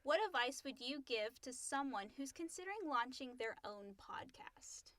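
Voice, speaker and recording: A woman's voice: high voice, animated, moderate pace, a bit bright, no echo. A woman co-hosting a podcast, chatting casually and reading questions aloud.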